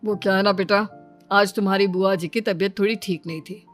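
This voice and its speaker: A woman's voice: mid-range voice, steady tone, brisk, soft background music, close to an everyday voice. Woman playing an affable middle-aged uncle. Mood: explaining.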